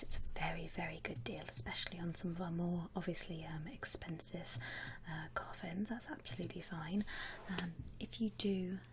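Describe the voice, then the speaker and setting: A woman playing a friendly, attentive saleswoman in a whispered ASMR roleplay, speaking softly in soothing customer-service patter with endearments. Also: fairly low pitch, a little hiss or hum, close to an everyday voice. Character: friendly attentive saleswoman at a vampire coffin shop. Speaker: ASMR performer.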